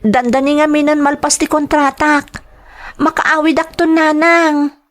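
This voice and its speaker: An actress with an Ilocano accent playing a homesick young daughter working abroad, wistful but reassuring, in a radio drama. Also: female voice, high-pitched, little pitch movement, measured pace.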